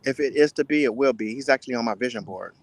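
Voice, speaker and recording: A man speaking as an interview guest, giving earnest, deliberate answers in a casual style. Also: medium pitch. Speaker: guest, spice entrepreneur.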